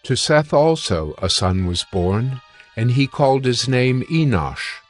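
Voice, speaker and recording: A man narrating scripture from a script in a measured, solemn manner. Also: mid-range voice, lively intonation, soft background music.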